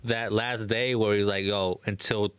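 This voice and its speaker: A man's voice: lowish voice, level tone, unhurried, fairly bright tone, clean tone, no room sound. A young man co-hosting a podcast in casual, slangy conversation. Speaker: podcast co-host.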